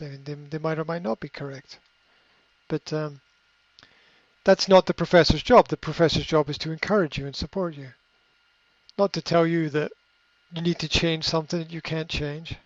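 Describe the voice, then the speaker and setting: A man giving unscripted coaching advice in a reflective way, often pausing with fillers and restarting his sentences. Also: on the high side, dry recording. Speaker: coach answering a question. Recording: dry, slightly muffled recording.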